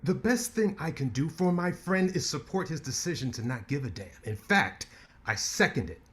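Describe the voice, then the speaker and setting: A man's voice: fairly high pitch, expressive, moderate pace, fairly bright tone. A man playing a cocky swaggering lawyer. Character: brash ambitious male lawyer, combative. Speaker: actor in a staged play reading. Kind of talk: stage play reading.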